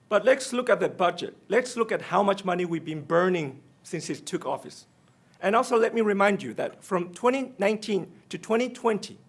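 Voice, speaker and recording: A man from Taiwan with a Taiwanese accent, speaking as a union treasurer candidate in a debate, direct and pointed. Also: high voice. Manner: non-native phrasing, direct and pointed. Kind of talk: debate statement.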